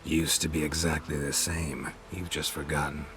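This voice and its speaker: An actor playing a gruff, world-weary and terse monster hunter in a video game, speaking in a deadpan, gravelly murmur. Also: male voice, very deep voice, moderate pace, faint music behind. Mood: dry, wry. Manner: deadpan, terse, clipped sentences.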